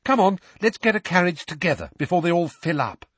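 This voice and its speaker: A man reading a bossy, indignant teenage schoolgirl in an audiobook, speaking in a raised, brisk voice. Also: high voice, lively intonation, breathy edge, voice pushed up.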